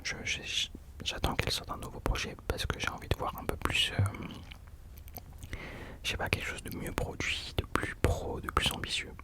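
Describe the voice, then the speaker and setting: A man hosting ASMR in an unvoiced whisper, chatting informally and hesitantly, correcting himself as he goes. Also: normal speed. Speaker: ASMR host. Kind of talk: whispered ASMR chat about rappers.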